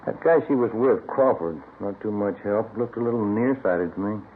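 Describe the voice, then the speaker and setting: A man acting as a plain-spoken American police detective, glum and terse, with a fairly low voice. It sounds like 1950s radio crime drama, narrow in band with a faint hiss. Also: moderate pace, lightly acted. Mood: glum, matter-of-fact. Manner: terse, casual shoptalk. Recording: muffled, hissy vintage radio recording.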